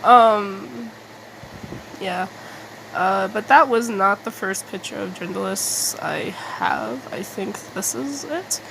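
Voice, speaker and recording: A woman rambling casually in a halting, self-deprecating, mildly sweary way, as an artist making a video. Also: mid-range voice, expressive, creaky, background noise.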